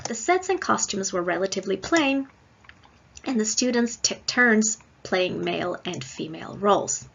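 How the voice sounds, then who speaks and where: A woman delivering a scripted academic lecture in a measured, explanatory manner. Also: medium pitch, expressive, vocal fry, fairly bright tone, breathy edge, highs cut off. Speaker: guest lecturer.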